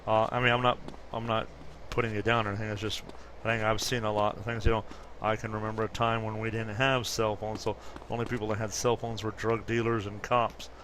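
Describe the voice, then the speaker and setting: A man's voice: on the low side, flat delivery, a little fast, hardly any pauses, a bit bright, a little hiss or hum. A man in his fifties casually chatting through gameplay commentary, rambling and correcting himself as he goes. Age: 50s. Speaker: gaming YouTuber.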